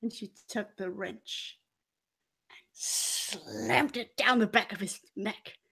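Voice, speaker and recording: Woman, middle pitch, very unhurried, theatrical, haughty scheming cabaret dancer character, dramatic role-play storytelling. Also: creaky, bright, forceful tone, husky. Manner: theatrical, deliberate, with dramatic pauses and swells. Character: scheming, money-loving former cabaret dancer, haughty and venomous. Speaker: player in a murder mystery role-playing game.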